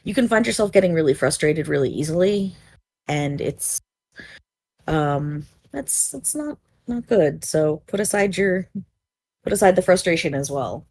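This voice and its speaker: Very low, husky woman on a podcast panel. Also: lively intonation, measured pace, darkish tone, dry recording. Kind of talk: casual discussion.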